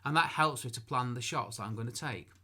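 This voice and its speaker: A man's voice: mid-range voice, fairly quick, bright, forceful tone. A middle-aged man, teaching photography in casual talk to camera, chatty and self-deprecating, full of asides and restarts. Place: room at home.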